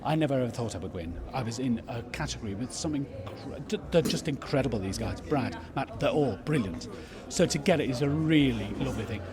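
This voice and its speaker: A middle-aged man with a mid-pitched voice speaks casually and sincerely as an interview guest. A crowd chatters clearly behind him. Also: expressive, fairly quick. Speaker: pantomime dame performer, guest. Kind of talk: casual heartfelt interview chat.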